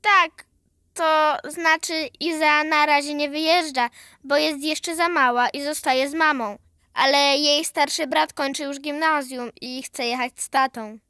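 A woman's voice: sits high, fairly even intonation, unhurried, sharp tone, no room sound, clean studio sound. A woman playing an earnest, precocious, worried little granddaughter in a children's radio play.

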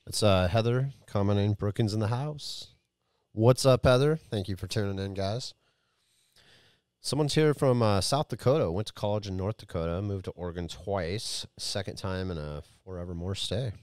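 Laid-back low-voiced man in his thirties. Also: normal speed, a little mellow, smooth, dry recording, clean studio sound. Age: 30s. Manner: laid-back, says man and bro a lot. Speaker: podcast host. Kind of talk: casual chat. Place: small wood-paneled studio room. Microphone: headset and boom mic.

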